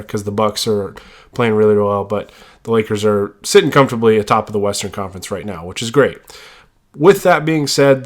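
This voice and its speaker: A man hosting a sports podcast while stuffed up with a cold, talking casually with many fillers and restarts. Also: mid-range voice. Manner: rambling with frequent fillers and restarts. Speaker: podcast host.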